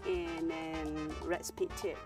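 A woman's voice: sits low, little pitch movement, slow pace, recorded from a distance, over music. A woman in her forties with a Thai accent, a chef co-hosting a comic cooking show outdoors, speaking in simple broken English and complaining playfully, recorded on a lapel mic. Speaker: chef, co-host. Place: outdoor backyard garden.

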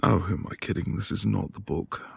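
A man acting a quiet, tender professor husband in an intimate boyfriend ASMR roleplay, soft-spoken and hesitant. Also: deep voice, a little fast, gravelly, a little hiss or hum, heavily acted. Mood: soft, flustered. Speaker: audio roleplay voice actor.

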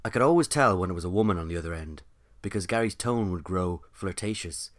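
A man with a deep, low-pitched voice narrates for a story podcast. His delivery is scripted storytelling, in the role of host and narrator. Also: lively intonation, fast talker.

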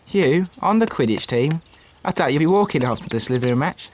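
Guy doing scornful school bully in a game, highish voice, bit of noise. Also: animated, brisk, natural voice. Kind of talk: video game voice acting.

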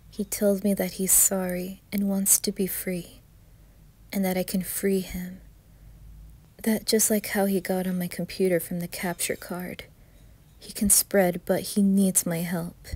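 Husky woman reading horror dramatically. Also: fairly low pitch, little pitch movement, a little slow, dark tone. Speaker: horror story narrator. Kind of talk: dramatic first-person creepypasta reading.

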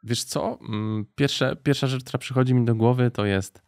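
A man's voice: mid-range voice, steady tone, normal speed, a little mellow, no room sound. A man hosting a podcast, talking casually and colloquially while searching for his words. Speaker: podcast host. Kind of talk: casual interview chat.

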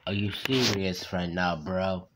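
A man voicing a sinister female animatronic chicken mascot, speaking slowly and stuttering on his threats. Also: low-pitched, heavy background noise. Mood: exasperated. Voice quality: stutters on words, drawn out. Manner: slow, taunting delivery. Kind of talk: fan-made game character voice lines. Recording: loud keyboard typing and clicks behind. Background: keyboard typing and clicks.

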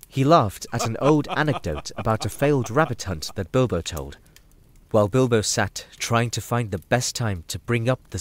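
A man giving scripted story narration for an audio drama. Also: on the low side. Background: music.

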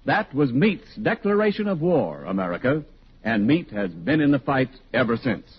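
A man's voice: medium pitch, very animated, normal speed. A man reading scripted introductions as a 1940s radio announcer. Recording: dull, faintly noisy 1940s radio recording.

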